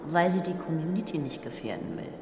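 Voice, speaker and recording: A woman's voice: low voice, sing-song, a little fast, roomy sound, in a large hall, background noise. An actress playing a sly, self-possessed young woman in a radio drama, speaking pointedly.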